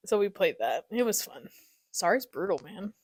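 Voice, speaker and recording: A young adult woman hosting a podcast in a casual chat, giggly and animated as she tells stories with slangy exclamations. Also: mid-range voice, normal speed, husky, no echo, clean studio sound. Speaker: podcast host. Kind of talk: casual chat, reminiscing with a friend.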